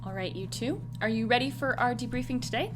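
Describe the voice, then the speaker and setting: Woman playing high-voiced, commanding boss, Vic. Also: over music, lightly acted. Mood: insistent. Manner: brisk, commanding. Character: Vic, brisk, demanding, confident female boss. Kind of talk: audio drama dialogue.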